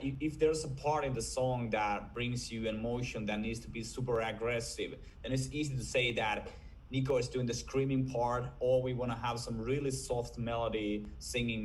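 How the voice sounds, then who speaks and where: A raspy-voiced man from Finland, a band vocalist answering interview questions earnestly while searching for his words. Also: mid-range voice, a little hiss or hum.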